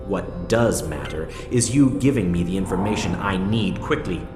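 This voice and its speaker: An actor playing a coldly controlling male interrogator and torturer in an audio drama, speaking in a deliberate, condescending, threatening way. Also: deep voice, close to an everyday voice. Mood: cold menace. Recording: echoey reverberant hall with music.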